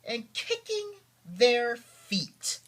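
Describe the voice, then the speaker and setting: A man reads a bedtime storybook to his children in a bright, forceful voice. His delivery is very animated and unhurried, leaning hard on key words and slipping into chatty asides. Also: extremely high pitch. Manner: theatrical, drawn-out emphasis on key words.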